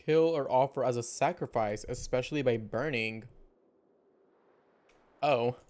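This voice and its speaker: A man's voice: medium pitch, measured pace, darkish tone. A man giving casual, chatty reaction commentary as a game streamer, with playful slang. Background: faint game music.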